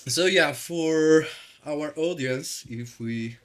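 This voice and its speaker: A man's voice: a little high, slow. A man in his thirties from Italy, speaking with an Italian accent as host of a casual podcast chat, talking loosely with many fillers and restarts.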